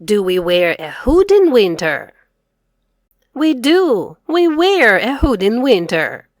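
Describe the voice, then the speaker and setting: A middle-aged woman reading aloud to children as an English teacher, enunciating each phrase clearly. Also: a little high, very animated, slow, no echo, clean studio sound. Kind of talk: children's read-aloud lesson.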